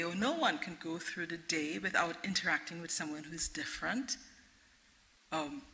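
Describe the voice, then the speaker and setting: A woman's voice: on the low side, sharp tone, low-quality recording. A middle-aged woman with a Trinidadian accent, speaking earnestly as a guest at a public forum and answering questions. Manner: earnest, repeats and restarts phrases. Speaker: guest speaker, interfaith director.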